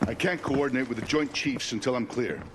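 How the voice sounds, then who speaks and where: Need a man as a commanding president under fire, grave and firm, mid-pitched voice, light hiss behind. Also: a little fast, natural voice. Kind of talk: game cutscene acting.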